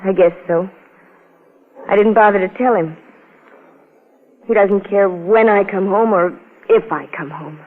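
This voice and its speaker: An actress playing a blunt, wounded working-class wife under police questioning in a vintage radio crime drama. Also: female voice, mid-range voice, a little slow, pauses often, close to an everyday voice. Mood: matter-of-fact, bitter. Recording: muffled old-time radio recording.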